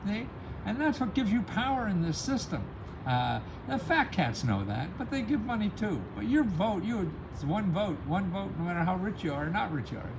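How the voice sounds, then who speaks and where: Animated, high-pitched man explaining over background noise. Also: moderate pace, creaky. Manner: emphatic, chuckles often at own remarks. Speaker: host of a grant-help advice channel. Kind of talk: casual, rambling motivational explainer.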